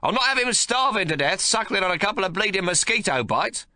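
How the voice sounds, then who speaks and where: A man's voice: high-pitched, animated, fairly quick, pushed and bright, no room sound, clean studio sound, voice pushed up, heavily acted. A man voicing a domineering, crude old grandfather who bellows and bullies. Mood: bullying. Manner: coarse, bellowing, bullying.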